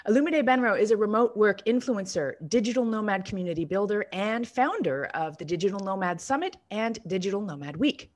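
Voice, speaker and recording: A woman hosting a casual interview over a video call, chatty and quick to laugh at herself. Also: mid-range voice, animated. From Canada. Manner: chatty, laughs easily, self-deprecating. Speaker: host. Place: home in Canada.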